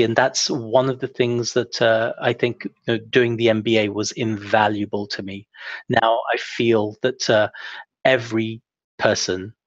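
A young adult man speaking as an interview guest, relaxed and self-deprecating with lots of fillers. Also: medium pitch, no room sound. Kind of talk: casual interview talk. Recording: dull, dry lo-fi sound.

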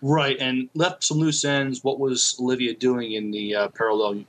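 A man's voice: mid-range voice, level tone, normal speed, a bit bright, low-quality recording. A man co-hosting a podcast, chatting casually about television and often hesitating as he searches for words. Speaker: podcast co-host. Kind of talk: casual TV review chat.

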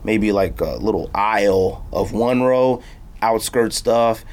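A man with a fairly low voice hosts a laid-back podcast conversation. His talk is informal and full of slang, as in a chat between friends. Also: slow pace. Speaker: podcast host. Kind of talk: casual sneaker podcast chat.

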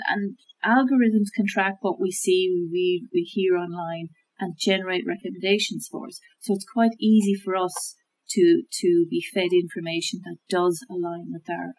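A woman talking through how to check information, in a measured, thoughtful way with many fillers and restarts. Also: on the low side, a little mellow, slightly rough, rough recording. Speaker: guest expert on media literacy. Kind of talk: conversational explanation.

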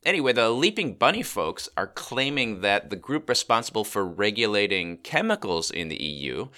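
A man's voice: lowish voice, sharp tone. A middle-aged man co-hosting a podcast while he has a cold, chatting casually with fillers and restarts. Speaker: co-host. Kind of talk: casual chat.

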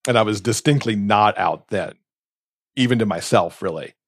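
Low-voiced American man in his fifties. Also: normal speed, dry recording, studio quality. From Alabama, United States. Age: 50s. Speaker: podcast host. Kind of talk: casual reflective chat.

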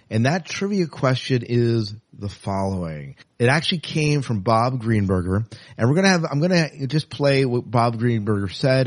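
A man's voice: mid-range voice, darkish tone, dry recording. A man hosting a podcast in a casual, chatty and enthusiastic way. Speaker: podcast host.

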